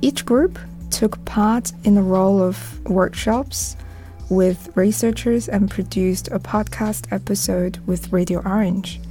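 A woman's voice: medium pitch, slow pace, warm tone, soft background music, poor audio. A woman who coordinates a youth project, reading a prepared introduction for a radio program. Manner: reads a prepared script.

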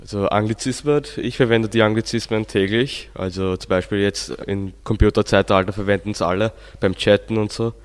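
Low, clear teenage boy, reverberant. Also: steady tone, normal speed, hardly any pauses. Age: teens. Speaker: student interviewee. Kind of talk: informal interview answer. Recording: long hall-like reverb.

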